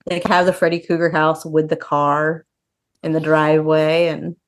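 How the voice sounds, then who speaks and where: A woman's voice: deep voice, little pitch movement, normal speed. A woman co-hosting a podcast, chatting casually about horror news in a conversational way peppered with filler words. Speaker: podcast co-host.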